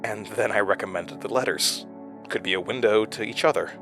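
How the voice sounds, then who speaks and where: A man playing a hesitant, haunted investigator in an intimate horror audio drama, with a low-key, earnest delivery. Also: a bit bright, soft background music. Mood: wistful, halting. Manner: halting, stammering in dialogue, low-key and earnest.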